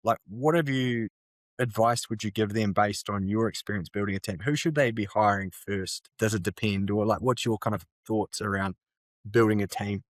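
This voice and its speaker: Deep-ish guy hosting, asks loose rambly questions, dry close mic. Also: moderate pace, no echo. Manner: rambling, self-correcting questions, many affirmations. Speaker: interview host. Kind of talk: conversational interviewing.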